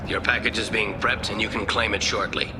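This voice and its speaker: An actor playing a businesslike prison guard who follows orders, matter-of-fact and official, in a video game. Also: male voice, on the low side, flat delivery, fairly quick, background noise, natural voice. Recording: loud music behind.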